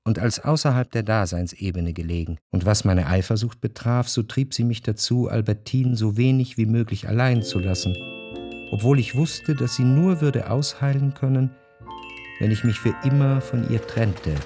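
A reflective, jealous lover narrates his inner life, played by a male actor. His mid-range voice phrases the literary text deliberately, with music underneath. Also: medium pitch, warm tone, natural voice. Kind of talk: scripted literary narration in radio drama.

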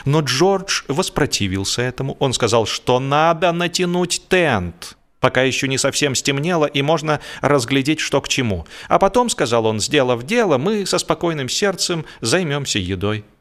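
A man narrating humorous literary fiction as a scripted audiobook, in an expressive, mock-earnest storytelling manner. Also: medium pitch.

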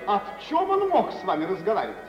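An actor playing a vain, jealous, touchy bridegroom with a stiff, self-important manner in old radio theatre style. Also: male voice, high-pitched, wildly swooping pitch, fast, music in the background. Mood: jealous, nagging. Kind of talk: 1950s radio theatre acting. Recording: muffled old radio recording, echoey hall.

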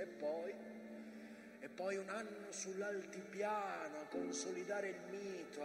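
An actor playing a war-weary, mournful witness of the First World War in an impassioned, rhetorical stage monologue. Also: male voice, a little high, very echoey, long reverb tail, natural voice. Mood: bitter, weary. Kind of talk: theatrical dramatic monologue.

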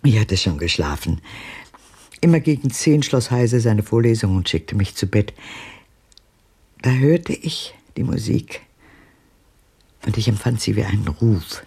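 An older actress playing a haunted, wistful elderly woman in a radio drama, husky and gravelly, hesitantly recalling her past. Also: very deep voice, lively intonation, warm tone, exaggerated voice. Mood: remembering. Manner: hesitant, halting, reflective. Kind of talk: German radio drama acting.

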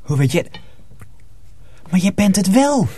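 An actor playing a small, naive fourteen-year-old apprentice boy, eager and childlike, with a husky voice in a radio drama. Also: high-pitched, sing-song, unhurried, warm tone, full character voice. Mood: curious, insistent.

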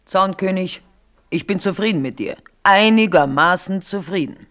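A woman's voice: lowish voice, lively intonation, normal speed, a bit bright. Older queen mother in a radio play, icy, mock-sweet, talks down to you, needling. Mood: condescending. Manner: condescending, needling. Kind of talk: German radio drama acting.

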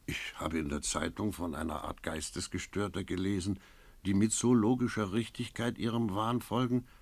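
An actor playing a cynical, brooding innkeeper in a German radio play, reflective and skeptical. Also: male voice, fairly low pitch, gravelly, dry recording. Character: cynical male innkeeper, brooding first-person narrator.

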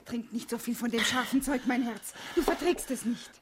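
A playful young wife played by a woman with a high voice against loud background noise. She coaxes and teases in radio-play style. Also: normal speed, lightly acted. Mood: cajoling. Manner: cajoling, teasing endearments. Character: coquettish young wife, playful, cajoling, soothing. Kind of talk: German radio drama acting.

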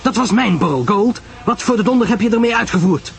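A man playing a blunt, commanding husband with a quick temper in a mystery audio drama. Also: sits high, animated, gravelly, soft background music, voice pushed up. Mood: furious.

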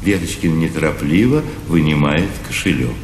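A man's voice: a bass voice, lively intonation, normal speed, reverberant, big-room sound, far from the mic, slight background noise. A man reading scripted narration of stage action.

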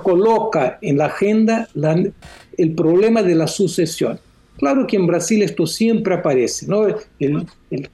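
A Brazilian economist speaking Spanish as a second language, his voice pitched high but mellow and unhurried. He lays out political analysis for a radio program in a measured, explanatory way, often closing a thought with a questioning tag. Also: rough recording. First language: Portuguese. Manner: measured, explanatory, with frequent "¿no?" tags. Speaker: columnist, economist. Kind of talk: radio phone-in commentary.

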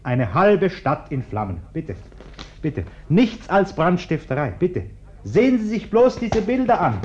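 An actor playing a proper bourgeois householder in a radio play, uneasy and gruff but polite, with a curt, stiff, flustered manner. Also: male voice, high-pitched, big pitch swings, unhurried, a little mellow. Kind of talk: classic German radio play acting. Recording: dull, band-limited old radio recording.

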